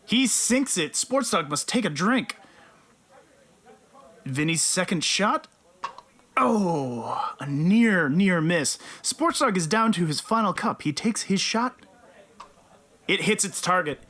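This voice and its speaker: A woman's voice: fairly low pitch, animated, slow pace, pauses often, a bit bright, heavily acted. A woman playing authoritative announcer and official roles in a comedic audio drama. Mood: excited commentary. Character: broadcast announcer, sports commentator and stern college dean. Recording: music behind the voice.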